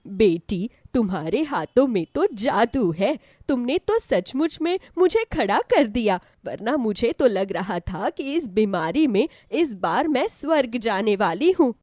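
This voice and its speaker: A woman's voice: on the high side, big pitch swings, measured pace, hardly any pauses, a little mellow, no echo, studio quality. A woman voicing an affectionate, grateful elderly mother in a devotional story.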